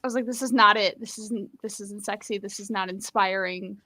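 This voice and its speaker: A woman's voice: medium pitch, fairly even intonation, brisk, sharp tone, dry recording, rough recording. A woman co-hosting a casual book discussion on a live stream, giving blunt, informal opinions. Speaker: co-host of a book readalong live show.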